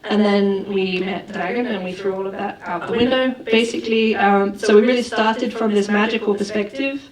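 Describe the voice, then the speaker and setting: A woman's voice: medium pitch, steady tone, moderate pace. Filmmaker woman in an echoey festival hall. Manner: thoughtful, many fillers and restarts. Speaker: film director. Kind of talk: festival Q&A answers. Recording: roomy hall, far from the mic, crowd noise.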